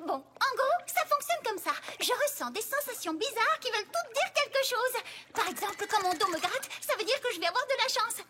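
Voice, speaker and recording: A woman's voice: extremely high pitch, sing-song, a little fast, heavy background noise. An actress voicing a hyper, bubbly young female pony in a French cartoon dub, talking in a bouncy sing-song way. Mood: explaining.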